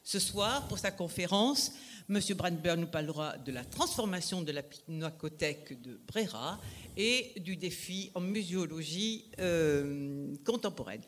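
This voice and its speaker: A man's voice: a little high, expressive, measured pace, fairly bright tone, slight background noise. A man hosting an event, formally and politely introducing a guest speaker and stumbling slightly over his phrasing.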